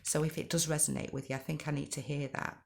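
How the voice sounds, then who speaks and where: Low-voiced woman giving a tarot reading. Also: level tone, quick pace. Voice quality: croaky. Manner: gentle, reflective, repeats herself, asks rhetorical questions. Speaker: tarot card reader. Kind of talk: spoken tarot reading to viewers.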